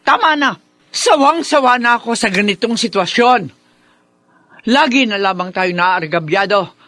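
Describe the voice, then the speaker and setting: A woman's voice: medium pitch, expressive, unhurried, pushed and bright, dry recording. An actress playing an indignant, protective, vengeful middle-aged mother with emphatic radio drama delivery. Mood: fed up, vengeful. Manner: emphatic, melodramatic. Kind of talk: Filipino radio drama acting.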